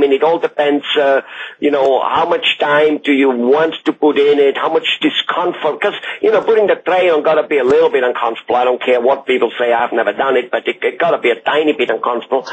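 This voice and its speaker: A man with an Austrian accent, a native German speaker, conducting a conversational interview in a rambling, wordy way. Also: a little high. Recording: muffled audio with loud background noise.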